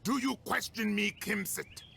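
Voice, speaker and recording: An actor playing a menacing, brooding villain in a video game, gravelly and theatrical, reciting ominously. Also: male voice, high voice, unhurried, bright, forceful tone, full character voice. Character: menacing giant villain leader, brooding, poetic, quick to threaten. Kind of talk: cinematic video game voice acting.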